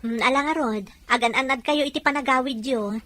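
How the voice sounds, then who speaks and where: A woman with an Ilocano accent acting a gentle, worried wife and daughter in an audio drama. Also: high voice, brisk. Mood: soft, affectionate. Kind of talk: serial radio-style drama in Ilocano.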